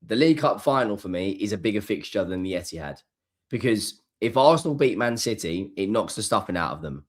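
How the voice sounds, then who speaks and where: A fairly low-pitched man, hoarse and a bit rough because he is coming down with flu. He gives his solo post-match reaction to the camera, hammering points home and repeating words for stress. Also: animated, a little fast. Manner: emphatic, repeats key words. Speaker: football channel host.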